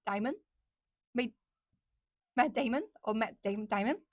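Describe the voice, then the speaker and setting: Young woman, higher voice, dry close sound; chatty livestream host who echoes viewer questions back. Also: measured pace. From China. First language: Chinese. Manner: chatty, repeats questions aloud, switches between English and Mandarin. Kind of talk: casual livestream chat reading viewer comments.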